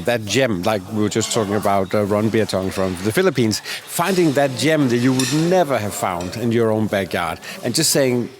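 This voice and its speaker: A man co-hosting a podcast in a casual chat, speaking with lots of hesitant fillers and real enthusiasm. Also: medium pitch.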